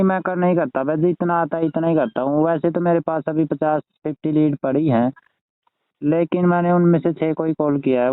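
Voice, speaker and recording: A man's voice: a little high, clean tone, no room sound. A man chatting casually on a phone call as a network marketer. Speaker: network marketer asking for advice. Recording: tinny phone call recording.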